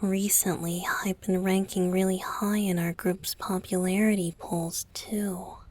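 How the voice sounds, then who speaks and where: A woman voicing a tender, playful young male pop idol boyfriend in an intimate ASMR roleplay, soft, breathy and often whispering, with a hesitant, affectionate delivery. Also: medium pitch, level tone, natural voice. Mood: soft, earnest. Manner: slow, hesitant, affectionate, coy.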